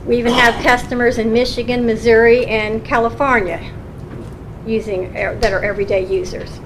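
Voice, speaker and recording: A woman's voice: on the high side, moderate pace. A woman, a county recorder, earnestly explaining her office's work to a county council in a meeting room. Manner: earnest, explaining patiently with restarts. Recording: public meeting recording with steady hum.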